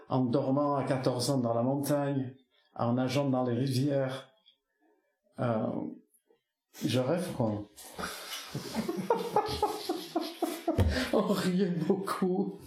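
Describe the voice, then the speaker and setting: A man whose first language is Basque, reminiscing slowly about his childhood in an interview, with many restarts and hesitations. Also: medium pitch, a little mellow, reverberant. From French Basque Country. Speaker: musician interviewee.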